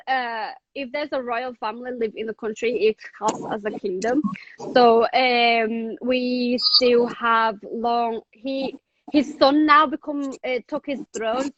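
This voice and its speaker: A woman's voice: fairly high pitch, dry recording, dull audio. A woman from Thailand now living in England, chatting casually on a video call with many fillers and the odd swear word. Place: home in England.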